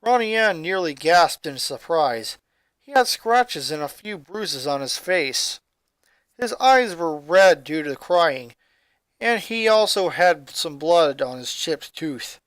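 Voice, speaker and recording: A man reading a story aloud as scripted narration, with dramatic, expressive delivery. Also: on the high side, slow pace, no echo. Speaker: narrator, fan fiction reader.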